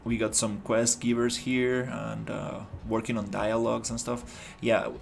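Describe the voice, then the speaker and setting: Gravelly man thinking aloud. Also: mid-range voice, little pitch movement, unhurried, soft background music. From Costa Rica. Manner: thinking aloud, loose and rambling with many restarts. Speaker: indie game developer streaming his work. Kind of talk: casual livestream commentary while working.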